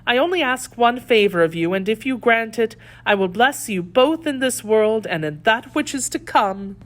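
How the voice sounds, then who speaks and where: A woman's voice: a little high, lively intonation, normal speed, pitched above the performer's own voice, close to an everyday voice. A woman playing a captive noblewoman who implores with feigned pious despair in a dramatic audiobook reading. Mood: desperate pleading. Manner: melodramatic, imploring.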